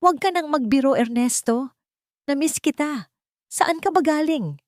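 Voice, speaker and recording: An elderly matriarch in an audio melodrama, played by a woman narrator. She is wistful and lost, speaking in raised, very animated tones. The recording is dry. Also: high voice, normal speed, dry recording, pitched above the performer's own voice. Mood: tender, confused.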